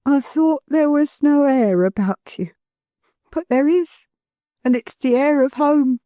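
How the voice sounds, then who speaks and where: Loving mum and wife, a woman reading her, pitched way up, tender and earnest, slow and soft. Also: no room sound. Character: fond devoted wife, a working-class mother.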